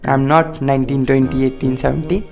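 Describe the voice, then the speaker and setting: A man's voice: mid-range voice, flat delivery, extremely slow, gravelly, darkish tone, faint music behind. A man in his early twenties with an Indian accent, hosting a sarcastic, mock-earnest comedy monologue and switching into English for emphasis. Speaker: video host.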